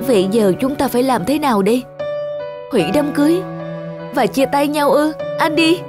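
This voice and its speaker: A woman voicing a gentle, anxious young mother in a cartoon, soft and pleading. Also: high voice, normal speed, lightly acted. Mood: worried, pleading. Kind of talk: cartoon voice acting. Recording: music clearly behind the voice.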